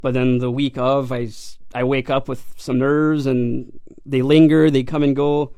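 A man's voice: medium pitch, flat delivery, unhurried, warm tone, smooth, no echo. A young man, a country singer, answering interview questions in a modest, hesitant way with many fillers.

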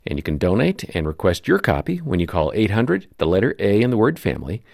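Very deep gravelly male announcer. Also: huge pitch swings, darkish tone, dry recording, clean studio sound. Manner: measured, broadcast delivery. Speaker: radio show announcer. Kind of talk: scripted announcement.

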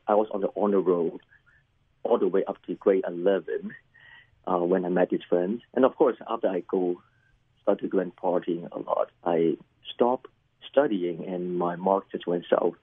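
A middle-aged man from Hong Kong whose first language is Cantonese, a pastor telling his life story in an interview, halting and repeating words with many fillers. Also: sits low, husky, dry recording, narrow, tinny audio. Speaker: pastor and chaplain, guest. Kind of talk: personal testimony interview.

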